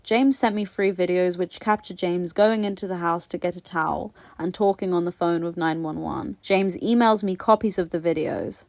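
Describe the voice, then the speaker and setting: A woman reading a formal report aloud in a measured, matter-of-fact way. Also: lowish voice, no echo. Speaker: reader of a report.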